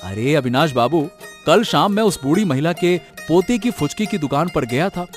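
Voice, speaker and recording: A man acting a high-pitched, fawning village schemer. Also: animated, normal speed, close to an everyday voice. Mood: eager, sly. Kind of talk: animated moral story dialogue in Hindi. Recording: music behind the voice.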